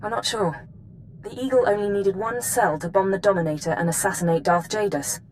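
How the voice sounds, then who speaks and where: A woman's voice: mid-range voice, brisk, slight background noise, close to an everyday voice, rough recording. Precise, cool female intelligence analyst played by an actress. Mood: businesslike. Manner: precise, formal, matter-of-fact. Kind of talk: video game voice acting, mission briefing.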